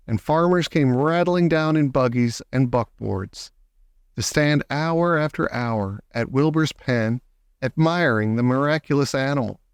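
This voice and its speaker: Higher-voiced man reading a children's story. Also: smooth, dry recording, clean studio sound. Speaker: audiobook narrator. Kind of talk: scripted children's story narration.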